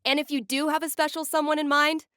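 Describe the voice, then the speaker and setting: A woman's voice: high voice, flat delivery, fast talker, pushed and bright, dry recording, studio quality. A woman voicing a wry, geeky young woman in a video game, with a casual, sardonic and playful manner. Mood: upbeat announcing. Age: young adult. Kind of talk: naturalistic game voice acting.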